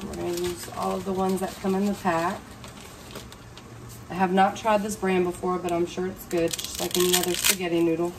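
A woman's voice: on the low side, little pitch movement, fairly quick. A woman, chatting viewers through a cooking tutorial step by step. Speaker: cooking vlogger. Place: hotel room. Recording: distant mic with clear room noise.

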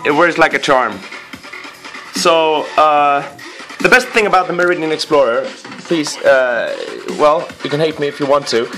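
A man giving a casual hands-on video review in a living room, rambling with apologetic asides and a playful, excitable streak. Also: fairly high pitch, normal speed, faint music behind, rough recording. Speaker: amateur gadget reviewer. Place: living room with wooden floor and rug.

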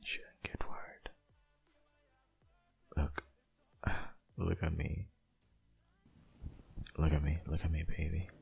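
A man playing a tender, possessive dominant boyfriend in an intimate ASMR roleplay, murmuring in a gravelly, breathy, half-whispered voice. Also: a bass voice, darkish tone, exaggerated voice. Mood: reassuring, gentle. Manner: slow, murmuring, lingering.

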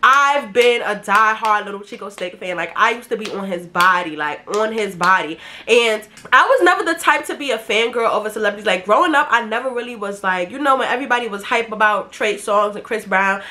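Bright, nasal, congested Brooklyn woman. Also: medium pitch, brisk. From Brooklyn, New York. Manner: brash, slangy and animated, swearing freely. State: congested from a head cold. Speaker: YouTuber. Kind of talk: casual storytime chat to camera. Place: small bedroom indoors.

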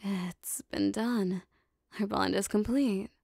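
A woman playing a lovestruck, possessive demon bound to a sorcerer, speaking softly and formally in an intimate roleplay audio drama. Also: medium pitch, no echo, clean studio sound, exaggerated voice. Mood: tender, hungry. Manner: soft, breathy, formal archaic phrasing, lingering pauses.

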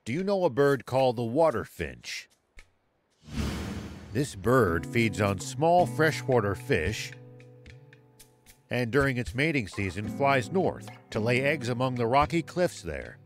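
Stern, expressive old sheriff. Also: male voice, medium pitch, measured pace, soft background music. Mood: earnest, explaining. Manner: formal, resolute, earnest. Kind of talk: video game cutscene acting.

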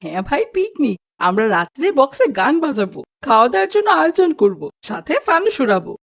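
A woman voicing a cheerful, excitable schoolboy in a children's moral cartoon. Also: high-pitched, fairly quick, slightly rough, exaggerated voice. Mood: cheerful, excited. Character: cheerful, excitable schoolboy among picnic friends.

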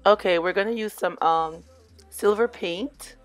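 A middle-aged woman with a hoarse voice, chatting casually as she walks viewers through a do-it-yourself crafts project. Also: mid-range voice, a little slow, soft background music. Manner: relaxed, chatty, addresses viewers as "you guys". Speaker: DIY crafts vlogger. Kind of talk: casual crafting tutorial voice-over.

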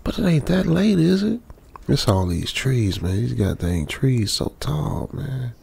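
A man's voice: mid-range voice, very animated, a little slow, vocal fry, darkish tone, breathy edge, exaggerated voice. An American man playing a stranded young trucker in a radio drama, uneasily muttering to himself in colloquial, repetitive phrases. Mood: alarmed, incredulous. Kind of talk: old-school radio drama monologue, improvised feel.

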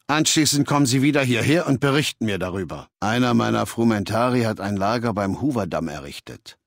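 An actor playing a commanding, arrogant warlord in a video game, speaking in a measured, authoritative and condescending way. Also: male voice, on the low side, lively intonation, vocal fry, no room sound, studio quality. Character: commanding, arrogant male warlord, cold and imperious, ailing. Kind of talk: acted video game dialogue.

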